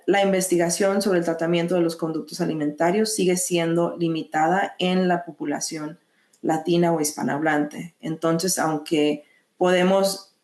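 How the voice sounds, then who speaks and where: A woman with a Mexican accent presenting a mental health lesson, measured, pausing often to restart phrases. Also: on the low side, darkish tone, echoey room. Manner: measured, with frequent hesitations and restarts. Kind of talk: educational webinar presentation.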